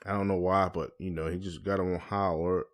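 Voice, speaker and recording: A man's voice: low voice, level tone, fast talker. A man with an American accent hosting a podcast in casual, colloquial conversation. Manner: colloquial, often checks in with "you know". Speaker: podcast host.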